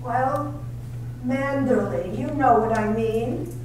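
An amateur actress in a staged radio drama reading, playing a shy young woman who speaks hesitantly and softly, full of self-doubt. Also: on the high side, slow pace, a little hiss or hum. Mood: flustered. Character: shy, timid young female paid companion. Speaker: amateur readers theater actress. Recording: echoey hall, far from mic.

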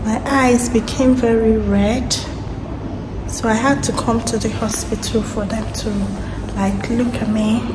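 Hoarse woman vlogging in an echoey hospital. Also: a little high, fairly even intonation, a little slow, background noise. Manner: chatty, explains step by step. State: hoarse with sore throat. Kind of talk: casual vlog to camera. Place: hospital waiting area, indoors. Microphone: phone at arm's length. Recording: echoey room, loud background ambience.

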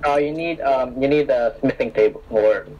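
A man's voice: mid-range voice, normal speed, recorded from a distance, dull audio. A young man in a casual gaming chat, hesitant and filling gaps with ums. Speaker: gamer.

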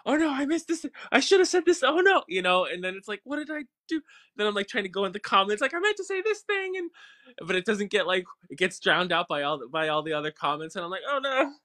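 A man hosting an interview over a video call, chatting casually and acting out his own reactions. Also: fast. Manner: lively, acts out his own reactions in a high voice. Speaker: interviewer host. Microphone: video call webcam.

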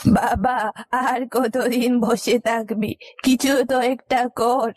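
A woman's voice: a little high, flat delivery, slow pace, darkish tone, no echo. A woman voicing a weary village mother who scolds reproachfully in a storybook tale. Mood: weary nagging.

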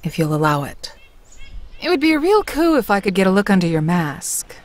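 A woman's voice: medium pitch, sing-song, a little fast, natural voice. A woman voicing an inquisitive adult journalist in a comic-book drama. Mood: courteous.

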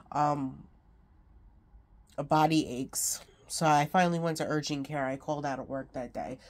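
A sick, congested woman chatting casually to her phone camera at home, rambling with many ums and small laughs. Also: deep voice. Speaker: craft vlogger.

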